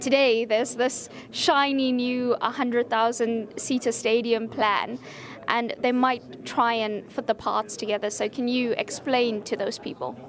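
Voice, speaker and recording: A woman with a fairly high voice delivers broadcast sports news. She speaks as a seasoned reporter, measured and emphatic, leaving dramatic pauses between phrases. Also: little pitch movement.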